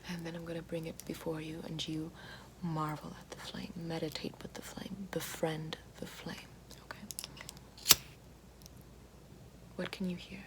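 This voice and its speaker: A woman playing a calm, gentle witch in an ASMR roleplay, whispering slowly and soothingly right at the mic and repeating soft praise. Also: low-pitched. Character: calm gentle female witch guiding elements. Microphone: close binaural-style mic. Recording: noisy hiss behind voice.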